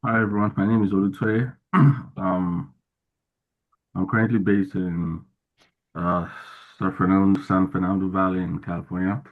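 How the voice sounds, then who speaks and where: Deep, flat man on a tinny line. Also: normal speed. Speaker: student. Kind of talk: self-introduction in class.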